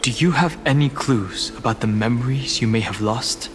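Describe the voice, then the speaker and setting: A man playing a solemn, resolute young wanderer in a video game, measured, earnest and thoughtful. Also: mid-range voice, background noise, close to an everyday voice. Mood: grave, thoughtful. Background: music.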